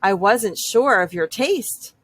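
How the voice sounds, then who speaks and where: A woman's voice: medium pitch, lively intonation, takes it slowly, a bit bright, no echo. A woman voicing a gracious, welcoming female moose hostess in a storybook, polite and attentive. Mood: delighted, welcoming.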